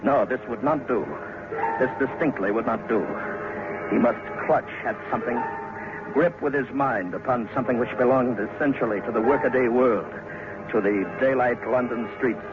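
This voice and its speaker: A man narrating a horror story in an old radio manner, theatrical and suspenseful, with deliberate pauses. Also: mid-range voice. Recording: tinny, band-limited old radio recording. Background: music.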